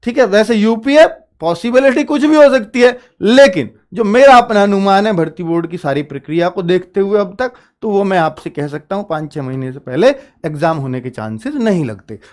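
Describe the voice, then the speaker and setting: A man's voice: high-pitched, expressive, moderate pace, hardly any pauses, a little mellow. A man, speaking as a coaching teacher who explains things informally to students, posing questions, answering them himself and repeating points for emphasis.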